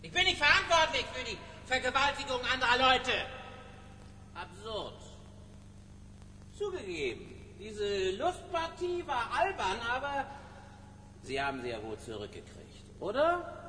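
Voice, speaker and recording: An actor playing a drugged, decadent villain in a radio drama, flippant and slippery, with a theatrical, mocking manner. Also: male voice, very high-pitched, sing-song, measured pace, pushed and bright, exaggerated voice. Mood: indignant. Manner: theatrical, mocking, wildly swooping. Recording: reverberant hall, faint noise.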